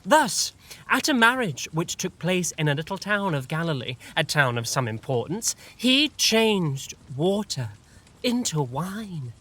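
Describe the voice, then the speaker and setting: A devout believer in an audio drama. The voice is bright and unhurried, with wide melodic swings. He declaims with measured, earnest reverence. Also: on the high side, very animated, full character voice. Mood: reverent, assured. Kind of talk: classic stage play performed as audio drama.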